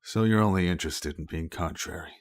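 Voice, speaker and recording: Man as composed formal man, deep voice, measured delivery, dry recording, game dub. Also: no room sound, close to an everyday voice. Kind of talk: fan dub of a visual novel game.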